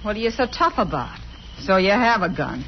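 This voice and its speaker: High-voiced defiant crook, old radio. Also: male voice, big pitch swings, moderate pace. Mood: defiant, sneering. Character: defiant, mouthy male crook facing down a detective. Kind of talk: 1940s radio acting. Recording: muffled, noisy old-time radio recording.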